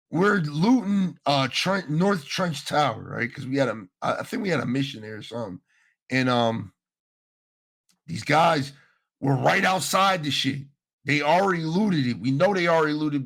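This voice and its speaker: Slangy gravelly-voiced male gaming podcaster. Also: mid-range voice. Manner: slangy, profane, animated retelling with acted-out quotes. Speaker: podcast host. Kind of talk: casual gaming podcast storytelling.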